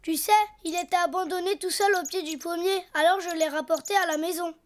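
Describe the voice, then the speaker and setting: A woman voicing a cheerful, curious little boy donkey in a cartoon, eager and lively. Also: very high-pitched, fairly quick.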